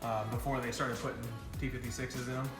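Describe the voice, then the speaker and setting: A man's voice: medium pitch, level tone, fast. A man who builds cars, explaining his work in casual, unscripted shop talk, offhand and unsure of details. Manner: offhand, self-deprecating, hedging on details. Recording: roomy echo with prominent background music. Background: clear background music.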